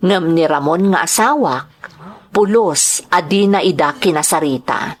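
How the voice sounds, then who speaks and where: A woman's voice: fairly low pitch, lively intonation, measured pace. A woman with an Ilocano accent acting a distressed young married daughter in an audio drama, speaking in an earnest, emotional way. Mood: sorrowful, confiding.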